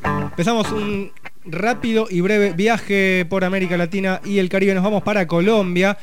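Male radio host, fairly high voice, easygoing news chat over faint music. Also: moderate pace. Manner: relaxed, conversational, reads news items with asides.

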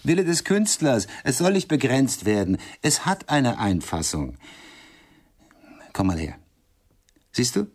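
A man's voice: medium pitch, sing-song, a little slow, pauses often, husky, exaggerated voice. An actor playing a cultured, self-satisfied middle-aged man in a German radio drama, speaking in a pompous, emphatic, condescending way. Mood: matter-of-fact.

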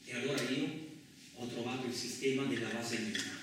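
A very reverberant, noisy recording, picked up from a distance, carries a male actor as a seasoned truck driver. He tells his tale like theatre, rough and talkative, rambling along with colloquial asides and rhetorical questions. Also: mid-range voice, a little fast, fairly bright tone, lightly acted. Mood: amused, exasperated. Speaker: actor performing a radio theatre monologue. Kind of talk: colloquial theatrical monologue, storytelling.

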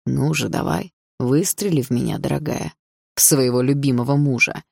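A woman's voice: extremely low pitch, normal speed, creaky, darkish tone, breathy edge, no echo, studio quality, exaggerated voice. A woman voicing a menacing, obsessive and smug husband with an insinuating delivery in an audiobook. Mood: coaxing, taunting. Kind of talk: audiobook character dialogue.

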